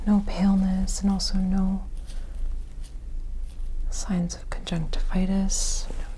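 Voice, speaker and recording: A woman gives an ASMR medical roleplay exam in a breathy whisper, gently reassuring as an examiner. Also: mid-range voice, level tone, slow, a little hiss or hum, rough recording. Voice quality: breathy, mostly unvoiced whisper. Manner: gentle, soothing clinical reassurance.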